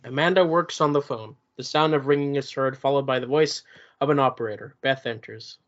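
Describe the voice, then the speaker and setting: Stage direction reader, flat and even, lo-fi. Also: medium pitch, fairly quick. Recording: dull lo-fi recording.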